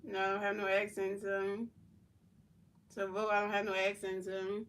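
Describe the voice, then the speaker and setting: A woman's voice: medium pitch, far from the mic. A woman streamer doing play-by-play commentary of a football video game and answering her chat in casual slang. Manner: announces plays in a measured, even delivery.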